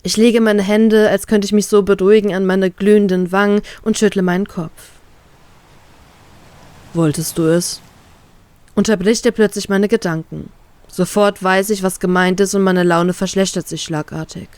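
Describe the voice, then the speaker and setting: A woman reads her first-person romance story aloud in a calm, steady way. Her voice sits at a middle pitch, neither high nor low. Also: moderate pace, clear, resonant tone, no room sound. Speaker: fan fiction reader.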